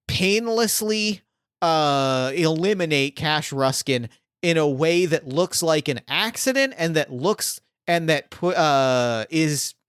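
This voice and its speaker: Higher-voiced man running a tabletop game. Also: a bit bright, clean tone, dry recording, clean studio sound. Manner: rambling, thinks aloud with many fillers. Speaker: game master.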